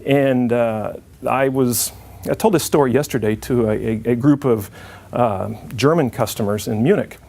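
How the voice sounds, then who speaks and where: A man's voice: medium pitch, normal speed, creaky, a little mellow. A middle-aged man giving an informal conference presentation to an audience. He jokes and restarts his sentences often. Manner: friendly, joking, with frequent restarts.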